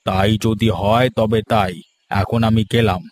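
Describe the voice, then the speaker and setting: A man's voice: fairly low pitch, fairly even intonation, unhurried, dry recording, lightly acted. A man voicing an easygoing old village husband in a cartoon, placid and cajoling. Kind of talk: cartoon voice acting. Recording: music bed behind the voice.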